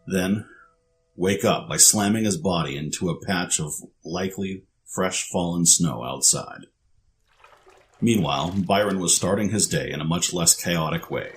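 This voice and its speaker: Very deep male story narrator. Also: a little slow, a little hiss or hum.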